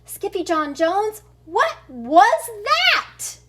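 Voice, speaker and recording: A woman acts out an excitable little boy kitten from a storybook, high in pitch and slow to roll out his boasts. He exclaims in a playful singsong with mock-Spanish flourishes, pretending to be a great swordsman. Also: exaggerated voice. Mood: excited, bouncy.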